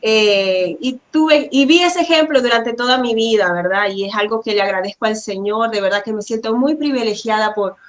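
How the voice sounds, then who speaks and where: A woman's voice: fairly high pitch, moderate pace, few pauses. A woman, a pastor's wife and program guest, sharing personal testimony in a courteous, devout, conversational way with frequent tag questions. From Venezuela.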